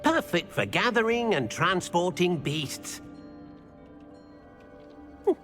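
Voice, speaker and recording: An actor playing a small, eager, kindly house-elf servant in a video game, helpful and referring to himself in the third person. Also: male voice, high-pitched, very animated, slow pace, over music, exaggerated voice. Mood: delighted. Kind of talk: video game cutscene acting.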